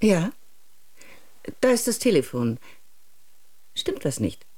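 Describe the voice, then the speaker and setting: A woman's voice: fairly low pitch, sing-song, takes it slowly, darkish tone, full character voice. An actress playing a reserved, polite woman in a German radio drama, speaking briefly. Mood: polite, guarded. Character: reserved woman receiving a stranger.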